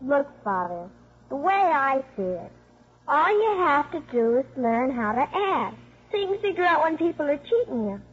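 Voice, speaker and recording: A woman's voice: high-pitched, very animated, normal speed. An actress playing a streetwise, cheeky schoolgirl with slangy, matter-of-fact talk in a 1950s radio drama. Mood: cocky. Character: streetwise, precocious schoolgirl, brash and unimpressed by grown-ups. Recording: muffled 1950s radio broadcast.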